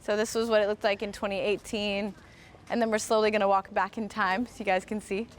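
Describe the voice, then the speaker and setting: A woman's voice: medium pitch, level tone, fairly quick. An American woman hosting a live-streamed walking tour outdoors, talking with viewers in a chatty, upbeat way and thinking aloud with lots of fillers. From United States. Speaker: host. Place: outdoors, walking around a historic site.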